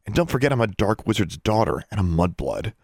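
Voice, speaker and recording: A man's voice: on the low side, very animated, vocal fry, dry recording, studio quality, exaggerated voice. A man voicing a bold, teasing young schoolgirl witch who bosses others about. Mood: bossy. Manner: brisk, teasing, bossy.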